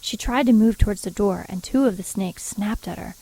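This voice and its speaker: An actress playing an earnest, proper nun who narrates strange events in an audio drama. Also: female voice, medium pitch, dark tone, natural voice, rough recording. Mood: grave narration. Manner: measured storytelling, primly earnest.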